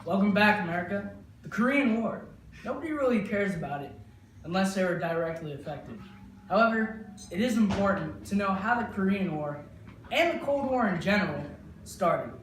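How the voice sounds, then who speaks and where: Echoey, high-voiced young man anchoring a newscast. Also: lively intonation, normal speed, a bit bright, a little hiss or hum. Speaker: news anchor. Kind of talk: mock 1950s newscast presenting. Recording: echoey room with music behind.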